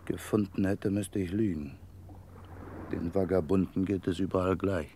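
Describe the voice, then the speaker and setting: An actor playing a reflective vagabond in a German radio play, speaking in a measured, contemplative way. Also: male voice, deep voice, fairly even intonation, mellow, a little hiss or hum. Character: wandering vagabond Maurizio, reflective, warm to an old friend.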